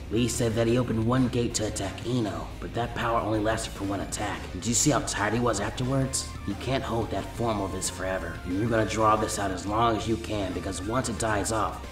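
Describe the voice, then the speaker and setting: A man's voice: on the low side, echoey room, pitched below the performer's own voice, lightly acted. A man reading a story, voicing a shrewd, laid-back teenage boy ninja in a dry, matter-of-fact, instructing way. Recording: music behind the voice.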